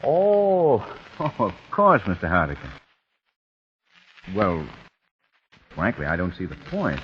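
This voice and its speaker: A man's voice: fairly low pitch, wildly swooping pitch, takes it slowly, husky, exaggerated voice. An actor playing a skeptical married man who talks in a dry, doubtful way, in 1940s radio drama style. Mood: polite. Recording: muffled old radio broadcast with hiss.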